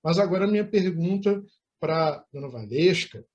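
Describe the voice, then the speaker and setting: High-voiced Brazilian male interview host. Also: lively intonation, normal speed, highs cut off. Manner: formal, elaborate wording. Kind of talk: conversational interviewing.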